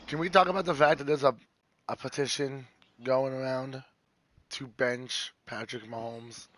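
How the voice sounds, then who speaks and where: Rough-voiced teasing male streamer. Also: mid-range voice, fairly even intonation, normal speed, fairly bright tone, low-quality recording. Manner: joking, teasing, swears freely. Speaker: streamer playing a football video game. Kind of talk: casual chat with friends while gaming.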